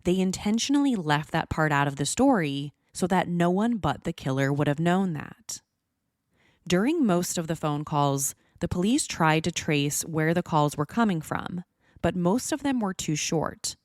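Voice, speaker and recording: A woman's voice: on the low side, animated, normal speed, dry recording, clean studio sound. A woman hosting a podcast, reading scripted true-crime narration. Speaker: podcast host.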